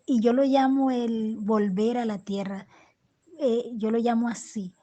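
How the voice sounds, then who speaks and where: A woman from Colombia speaks slowly and thoughtfully as an interviewed poet, her voice fairly high yet mellow and soft. She stops and restarts often, repeating small words while she searches for the next thought, and her pitch stays flat. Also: dull audio. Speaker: poet and storyteller, interview guest. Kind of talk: reflective interview answers.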